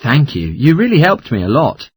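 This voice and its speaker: A man playing a polite traveller making inquiries in a scripted dialogue, with a husky voice. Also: medium pitch, wildly swooping pitch, normal speed. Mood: polite, curious. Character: polite traveller asking for fare information. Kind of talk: scripted listening-test dialogue.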